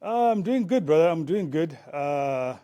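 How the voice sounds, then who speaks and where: A man's voice: on the high side, expressive, a little slow, dark tone. A man in his forties from Zimbabwe, a former rugby player, talking casually as an interview guest with many fillers and restarts.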